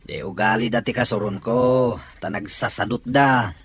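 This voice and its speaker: A man's voice: mid-range voice, steady tone, normal speed, a bit bright, breathy edge. A man playing a hardworking, long-suffering young farmer in a family radio drama, earnest and protesting.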